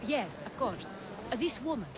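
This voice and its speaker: A woman playing a composed, sorrowful ally who gives guidance in a video game, speaking in a measured way. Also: fairly high pitch, very animated. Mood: composed, purposeful. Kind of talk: video game cutscene acting. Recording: clear ambient noise behind.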